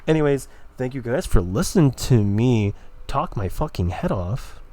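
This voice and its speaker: A man's voice: medium pitch, expressive, moderate pace, warm tone, no echo. A man rambling casually and hesitantly as he introduces a gameplay video, with an offhand manner. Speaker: gaming video creator.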